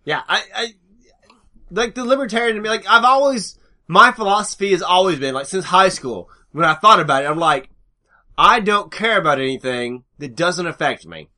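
A man's voice: high voice, normal speed, sharp tone. A man co-hosting a podcast in casual chat, rambling with many restarts and fillers. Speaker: podcast co-host.

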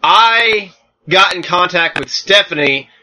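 A man's voice: high voice, slow, sharp tone. A man with an American Southern accent, co-hosting a podcast in casual chat with lots of fillers. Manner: rambling, many fillers. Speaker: podcast co-host. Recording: dull lo-fi podcast audio.

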